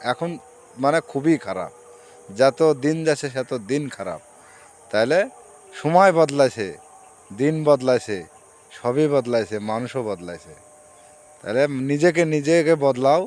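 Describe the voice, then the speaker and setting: A man's voice: mid-range voice, darkish tone, slight background noise. A man with a rural Bengali dialect accent talking casually and reflectively to his viewers, in a plain-spoken, repetitive, moralizing way. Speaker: social media vlogger.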